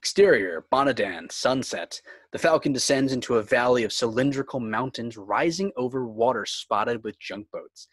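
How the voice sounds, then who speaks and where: A man's voice: medium pitch, sing-song, normal speed, no echo. Man narrating a screenplay, dry close sound. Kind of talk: scripted narration, reading screenplay stage directions.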